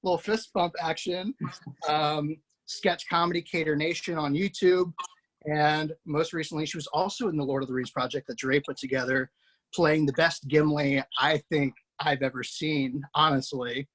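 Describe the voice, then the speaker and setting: A man's voice: fairly high pitch, fairly even intonation, normal speed, a bit bright, rough recording. Man hosting with chatty, effusive introductions. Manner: effusive, praising, loose with fillers and restarts. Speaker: host introducing a table-read cast. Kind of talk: casual spoken introductions.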